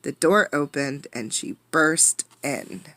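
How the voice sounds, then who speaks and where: A woman reads a children's storybook aloud in a fairly low voice. Her delivery is dramatic and emphatic, like a teacher engaging listeners. The sound is dry, with very little room echo. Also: animated, slow pace, fairly bright tone. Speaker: teacher reading a picture book aloud. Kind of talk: expressive storybook read-aloud with questions to listeners.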